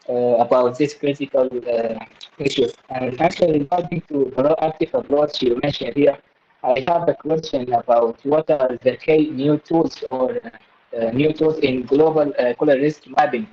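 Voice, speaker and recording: A man taking part in a webinar, politely and haltingly putting a question to a presenter. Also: medium pitch, mellow. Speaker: webinar participant asking a question. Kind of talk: hesitant spoken question. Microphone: webinar call. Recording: dull, muffled online call.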